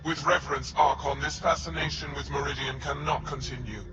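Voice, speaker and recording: An actor playing a stern, formal, zealous alien officer in a video game cutscene. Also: male voice, mid-range voice, fairly even intonation, fairly quick, muffled recording, very noisy, lightly acted. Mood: stern reproach.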